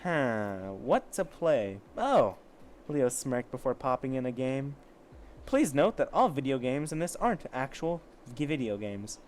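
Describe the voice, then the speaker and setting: A man's voice: mid-range voice, big pitch swings, unhurried, darkish tone, a little hiss or hum. A man casually reading amateur fiction aloud, with sarcastic, foul-mouthed asides. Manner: sarcastic, profane commentary. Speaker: amateur story reader.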